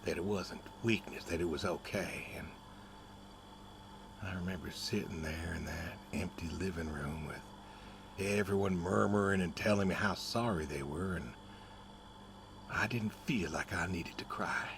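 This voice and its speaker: Casting: middle-aged farmer for a narrative game, very low pitch, folksy and understated, grieving stubbornly; noisy ambient recording. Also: male voice, unhurried, creaky. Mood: wistful, reflective. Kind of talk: naturalistic dramatic game dialogue.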